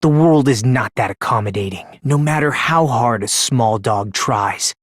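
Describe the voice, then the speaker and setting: An actor voicing an eerily polite, self-deprecating young man obsessed with hope in an anime-style video game, with a breathy voice and a theatrical edge. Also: mid-range voice, measured pace, no room sound, studio quality, close to an everyday voice. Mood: quiet, bitter, fervent.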